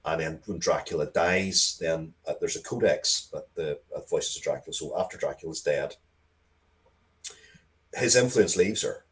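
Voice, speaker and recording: A man chatting casually as an interview guest, telling rambling, excitable anecdotes with repeated phrases and the odd swear word. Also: rumbling low, normal speed. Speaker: interview guest, writer of comics and audio drama.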